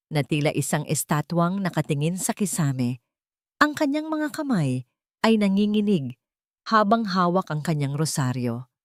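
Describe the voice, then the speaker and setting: A woman's voice: deep voice, a little mellow, no echo, clean studio sound. A woman reading a scripted romantic drama story as its narrator, in a dramatic storytelling style.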